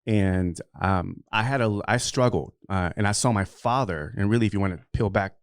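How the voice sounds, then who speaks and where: A man's voice: fairly low pitch, moderate pace, smooth, no room sound, studio quality. A middle-aged man speaking as a podcast consultant guest, answering interview questions in an earnest, rambling way with many fillers.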